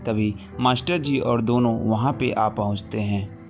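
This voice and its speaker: Man narrating a story over soft music. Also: on the low side, a little slow. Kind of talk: scripted Hindi horror story narration.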